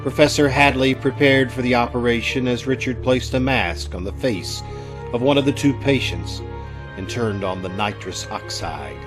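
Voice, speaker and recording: A man narrating a scripted old-time radio drama with suspenseful, deliberate emphasis. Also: medium pitch. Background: music.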